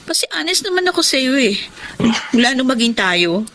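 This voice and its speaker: Plaintive, high Filipino girlfriend. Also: sing-song, normal speed, background noise. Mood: hurt, doubtful. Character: hurt, doubting, faithful longtime girlfriend, young woman. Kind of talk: Filipino radio drama acting.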